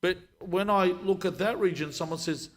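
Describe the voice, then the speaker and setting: Man, relaxed storytelling sheikh. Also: sits high, moderate pace. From Lebanon. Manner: conversational, storytelling, many restarts. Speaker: sheikh, guest speaker. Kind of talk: live panel discussion.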